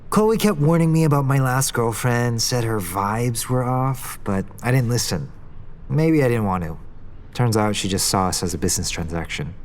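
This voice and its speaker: A man's voice: mid-range voice, expressive, normal speed, lightly acted. Actor as young male heir in noisy surroundings. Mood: candid. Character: young Korean business heir, sincere, gently teasing suitor. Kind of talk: naturalistic romantic audio drama dialogue.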